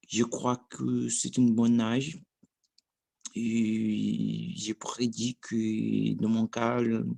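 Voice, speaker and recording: A man's voice: mid-range voice, creaky, a little mellow, dry recording. A man in his thirties from Brazil, speaking a learned language with a Brazilian Portuguese accent, hesitant and restarting often as he practices. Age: 30s. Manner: hesitant, searching for words, frequent restarts. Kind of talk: casual practice monologue.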